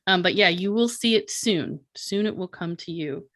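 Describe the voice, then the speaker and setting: A woman presenting her art projects in a relaxed online panel talk, with many fillers and restarts, on a video call mic. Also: lowish voice, normal speed. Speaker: artist and presenter.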